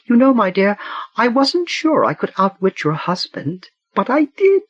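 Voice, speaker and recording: A man voicing a genteel, elderly woman murderer in a high, sugary falsetto with slow, lilting delivery. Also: big pitch swings, no room sound, lo-fi sound, full character voice. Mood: sweetly menacing. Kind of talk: audiobook narration.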